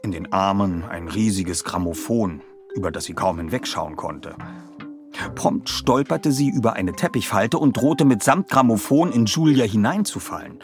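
A man reading scripted audiobook narration in an expressive storytelling manner. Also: lowish voice, normal speed, over music.

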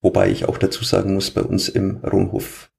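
A man's voice: low-pitched, completely flat delivery, normal speed, vocal fry, darkish tone. A man with a Franconian accent, a stadium announcer answering interview questions in a rambling way with many fillers. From Franconia, Germany.

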